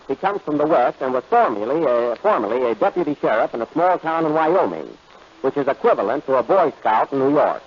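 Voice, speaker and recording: A man's voice: on the high side, a little hiss or hum. A man hosting a 1930s radio comedy show with a dry, wisecracking delivery. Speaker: comedian host. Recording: dull lo-fi old radio recording.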